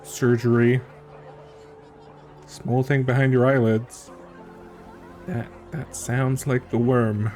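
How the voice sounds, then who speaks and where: A man's voice: medium pitch, flat delivery, slow pace, long pauses, darkish tone, faint music behind. A man giving casual commentary while streaming a game, musing and thinking aloud.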